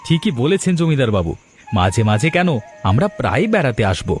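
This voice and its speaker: A man acts out an emphatic village patriarch from a cartoon folktale. His mid-pitched voice swings widely as he blusters like a protective uncle. Music runs underneath. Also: sing-song, a little fast, close to an everyday voice. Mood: hearty. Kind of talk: Bengali cartoon folktale acting. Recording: music bed behind the voice.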